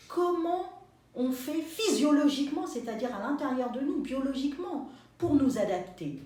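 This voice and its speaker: A woman guest on a radio interview, talking in a halting way with many fillers. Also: high-pitched. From France. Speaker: guest author and sociologist. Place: radio studio. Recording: echoey, distant mic.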